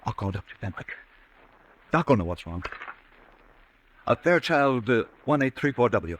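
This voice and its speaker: An actor playing a haunted, nervous businessman who fears himself, in agitated, halting, melodramatic 1940s radio style. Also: mid-range voice, very animated, fairly bright tone, slight background noise, low-quality recording. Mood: anxious, muttering. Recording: old radio broadcast recording.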